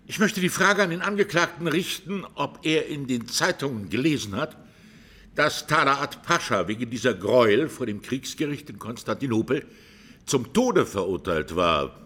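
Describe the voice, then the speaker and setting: Husky, forceful defence lawyer. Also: male voice, medium pitch, animated, moderate pace. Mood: pointed. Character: pointed, formal defence lawyer questioning his client. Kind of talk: radio play courtroom questioning.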